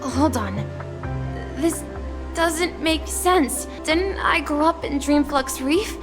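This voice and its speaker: A woman's voice: high voice, slow. A woman voicing an earnest young boy who dreams of adventure at sea, in a video game. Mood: puzzled, wistful. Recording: game music under the voice.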